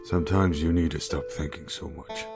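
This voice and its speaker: Low-voiced husband and father played by a man, skeptical, protective, teasing; audio drama with plucked guitar backing. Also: fairly even intonation, brisk, vocal fry. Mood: concerned.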